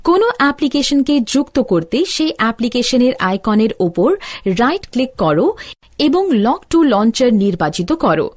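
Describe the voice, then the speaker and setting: A woman's voice: on the high side, no echo, clean studio sound. A woman reading a scripted instructional narration as a tutorial narrator.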